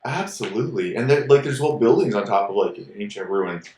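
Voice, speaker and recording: A man who grew up in Ecuador tells stories as a podcast co-host, rambling and animated, with "like" in nearly every phrase. His voice sits low. The room around him is echoey. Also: brisk. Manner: rambling and animated, many "like" fillers. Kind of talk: casual storytelling chat.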